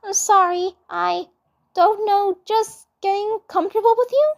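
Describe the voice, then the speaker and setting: A woman's voice: extremely high pitch, a little slow, creaky, voice pushed up, heavily acted. A woman voicing a sweet, bashful little cartoon superhero girl with a shy, hesitant manner.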